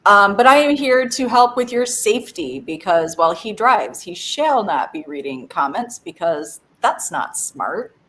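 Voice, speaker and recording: A woman's voice: medium pitch, very animated, measured pace, few pauses, a bit bright. Giggly woman greeting live viewers. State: phlegmy, coughing. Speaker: co-host of a live stream. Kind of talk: casual live-stream chat.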